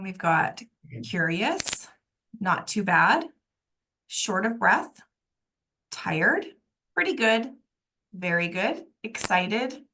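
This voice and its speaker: Woman instructor, casual delivery, markedly slow with heavy vocal fry. Reads short responses aloud. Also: mid-range voice, bright, forceful tone, slight background noise, rough recording. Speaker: instructor helping host a meeting. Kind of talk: casual talk, reading out responses.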